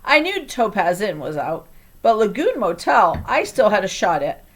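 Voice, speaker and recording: Female audiobook reader, medium pitch, scripted first-person storytelling, children's fiction. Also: big pitch swings, normal speed.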